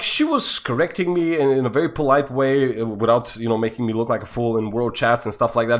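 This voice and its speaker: Young male storyteller from Bulgaria, Bulgarian native, slightly high voice, casual rambling delivery. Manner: rambling, many fillers and restarts, lively swings in pitch and loudness. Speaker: storytime YouTuber.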